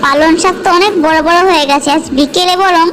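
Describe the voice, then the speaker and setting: A woman's voice: very high voice, steady tone, fast, music in the background. A woman with a Bengali accent voicing a practical, bossy farmer's wife and mother in a cartoon, in a chiding, homely manner. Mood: brisk. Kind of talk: cartoon voice acting.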